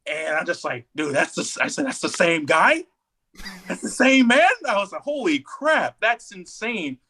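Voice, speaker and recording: A man's voice: sits high, very animated, moderate pace, bright, forceful tone, breathy edge. A man co-hosting a podcast in casual, enthusiastic chat, talking emphatically and repeating himself to make a point. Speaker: podcast co-host.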